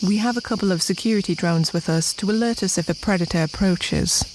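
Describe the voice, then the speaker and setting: A calm, knowledgeable expedition researcher, played by a woman in an audio drama. Her slightly low voice is measured, dry and matter-of-fact. A noisy bed of chirping insects sits behind it. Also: lightly acted. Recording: insect-filled jungle noise behind.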